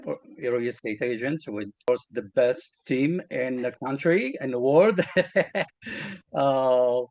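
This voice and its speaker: A man from Morocco, with a Moroccan accent and Arabic as his first language, talks about his career as a real estate agent in a halting way, with many fillers and restarts. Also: medium pitch, animated, a little mellow, dry recording. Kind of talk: casual interview talk.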